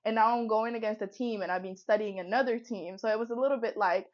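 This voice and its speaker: A woman's voice: on the high side, quick pace, dull audio. A woman in her twenties from Finland, co-hosting a podcast in an upbeat, reflective, talkative way. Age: 20s. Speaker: podcast co-host and football player. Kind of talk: casual podcast chat.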